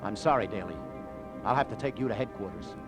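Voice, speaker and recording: An actor playing a hard-boiled police detective, weary but firm, with terse, slangy delivery in old radio crime drama style. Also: male voice, on the low side, quick pace, over music, lightly acted. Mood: accusing. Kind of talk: 1940s-50s radio crime drama acting.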